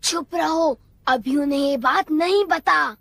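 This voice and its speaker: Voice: high-pitched, unhurried, vocal fry, fairly bright tone, no echo, heavily acted. An actor playing a whiny, easily scared young schoolboy in a Hindi cartoon dub, pleading and dramatic. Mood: upset. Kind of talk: Hindi-dubbed cartoon acting.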